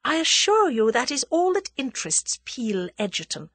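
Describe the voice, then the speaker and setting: A woman narrator performs a worldly, haughty female schemer from a storybook. Her voice sits high and swings widely in pitch, airy and coolly amused. She taunts with scornful confidence in a dry, close recording. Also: sing-song, unhurried, a bit bright, no echo, heavily acted. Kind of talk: dramatised audiobook character dialogue.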